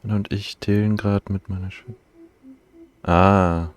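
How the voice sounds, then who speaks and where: Low-voiced man streaming a game. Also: little pitch movement, slow. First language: German. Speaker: live streamer. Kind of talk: casual game stream chat, reading dialogue choices aloud.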